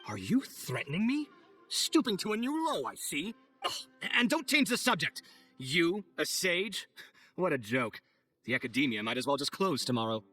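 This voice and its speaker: A man's voice: fairly high pitch, sing-song, moderate pace, sharp tone, soft background music, heavily acted. A man playing a proud, hot-tempered young architect in a video game, speaking with theatrical, haughty exasperation. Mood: exasperated.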